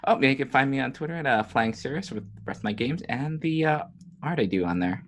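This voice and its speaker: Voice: medium pitch, animated, quick pace, a little hiss or hum. A tabletop game player chatting casually in a hesitant, tongue-in-cheek way. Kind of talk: casual chat.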